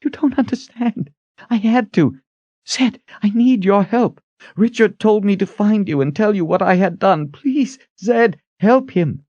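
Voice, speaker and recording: A man's voice: high-pitched, unhurried, a little mellow, no echo, highs cut off, voice pushed up. A man voicing a frantic young woman who pleads urgently and breathlessly in a dramatic audiobook reading. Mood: anguished, guilty.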